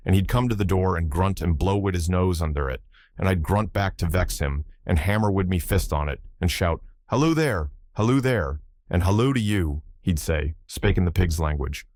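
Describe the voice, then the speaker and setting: An old Irish seaman, acted out by a male narrator in a storybook reading. He is excitable, folksy and rambling, with a low voice and a close, dry sound. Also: a little fast, no echo. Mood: storytelling. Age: older. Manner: rambling, colloquial yarn-spinning.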